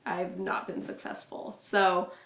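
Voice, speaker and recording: A young woman vlogging to camera in a relaxed, reserved way, with a naturally low voice she pushes louder and many casual fillers. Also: slow pace, vocal fry. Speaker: YouTuber. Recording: echoey room.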